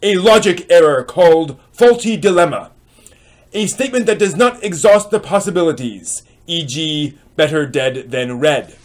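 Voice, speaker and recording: A middle-aged man hosting and giving a dramatic reading with commentary, using expressive, theatrical emphasis. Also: a little high, moderate pace. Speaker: host and reader. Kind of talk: dramatic reading of old online posts with explanatory commentary. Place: small home room with bookshelves, indoors.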